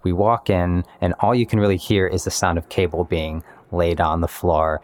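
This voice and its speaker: A man, a musician and composer, talking reflectively and in measured phrases about his work. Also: low-pitched, level tone, darkish tone. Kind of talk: reflective interview monologue about making music. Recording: music playing behind the voice. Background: guitar-led instrumental music.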